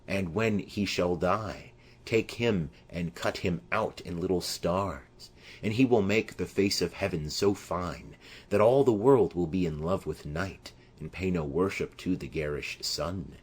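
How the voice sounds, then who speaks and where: A man's voice: low-pitched, measured pace, breathy edge. A man voicing an impassioned, lovesick young noblewoman in declamatory Shakespearean verse for an audiobook. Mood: yearning. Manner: declamatory, poetic.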